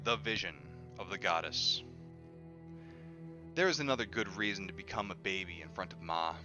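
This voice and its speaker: A man reading a book aloud in an expressive style. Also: low voice, moderate pace. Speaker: reader of an audiobook on a stream. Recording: dull lo-fi audio with music behind. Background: music.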